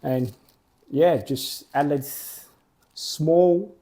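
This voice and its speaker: A young man, a football program co-founder, answering interview questions plainly in short, halting clauses. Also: on the high side, warm tone. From Australia.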